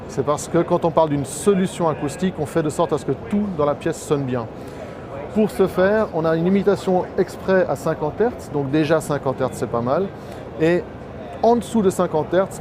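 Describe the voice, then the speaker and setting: A man giving an explanatory, promotional product presentation, as a distributor at a trade show stand. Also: a little high. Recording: noisy trade show floor ambience.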